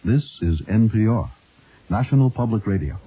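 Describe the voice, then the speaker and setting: A man's voice: on the low side, expressive, normal speed, a little hiss or hum. A man reading a scripted funding credit as a radio network announcer. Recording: tinny narrow-band radio over music.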